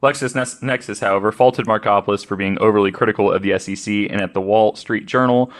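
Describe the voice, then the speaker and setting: A man's voice: on the low side, fairly even intonation, brisk. A man hosting a podcast, reading researched notes aloud in an informal, conversational way. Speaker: podcast host.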